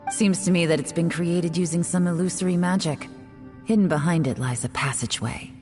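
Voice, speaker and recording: A woman voicing a cool, sharp-eyed woman spy in a video game who speaks in a composed, measured way. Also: lowish voice, long reverb tail, soft background music, natural voice.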